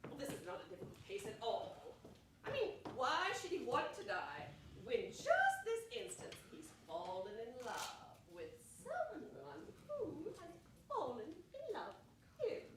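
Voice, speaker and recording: A woman's voice: high voice, huge pitch swings, unhurried, exaggerated voice. A woman on stage playing an eccentric, grandly theatrical old lady, effusive, rambling and giggly in her delivery. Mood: insistent, knowing. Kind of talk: live stage play acting. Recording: distant, echoey, noisy stage recording.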